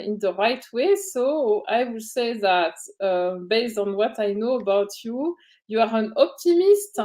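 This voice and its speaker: European woman interviewer; slightly high, slow, halting delivery on a call. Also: darkish tone. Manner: halting, frequent fillers and restarts. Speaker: interviewer, coach. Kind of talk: hosting an online interview.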